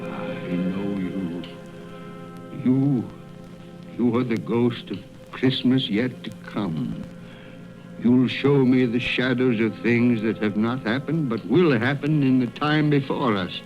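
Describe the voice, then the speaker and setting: An actor playing a fearful old miser who pleads for redemption, in a theatrical, halting radio drama manner. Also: male voice, mid-range voice, close to an everyday voice. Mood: fearful, resolute. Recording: muffled old radio recording, music behind.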